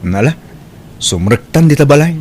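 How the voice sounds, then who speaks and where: A man's voice: a little high, expressive, normal speed, close to an everyday voice. A man acting an attentive, persuasive young boyfriend in an audio drama. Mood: coaxing.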